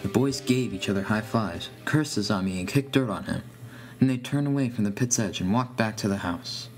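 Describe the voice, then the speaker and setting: A man narrates a scripted horror tale in the first person. His voice sits on the low side, and music plays clearly behind him. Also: normal speed. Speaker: story narrator.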